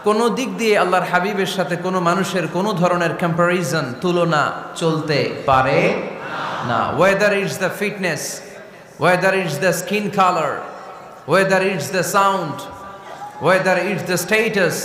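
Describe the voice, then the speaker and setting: A man's voice: high voice, fairly bright tone. A man with a Bangladeshi accent preaching an Islamic sermon in an emphatic manner, full of rhetorical questions. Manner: emphatic, rhetorical questioning, switches into slow deliberate English phrases. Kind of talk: sermon to a gathering, call and response. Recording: echoey PA with long reverb, faint noise.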